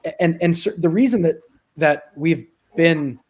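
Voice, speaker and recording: A man's voice: fairly high pitch, a little slow. A man speaking as an executive in a business interview, explaining things conversationally with frequent asides. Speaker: company executive being interviewed.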